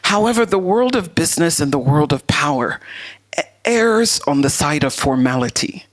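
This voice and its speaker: A woman with a deep voice giving a motivational masterclass talk to an audience, speaking in a deliberate, emphatic way. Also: animated. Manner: deliberate, emphatic, uses rhetorical questions and repetition. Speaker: masterclass speaker, leadership coach.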